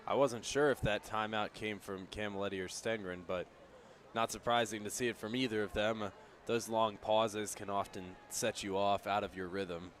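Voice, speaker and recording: A man's voice: fairly low pitch, steady tone, normal speed, fairly bright tone, slight background noise. A man giving conversational, explanatory color commentary on a live baseball radio broadcast. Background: outdoor ballpark ambience.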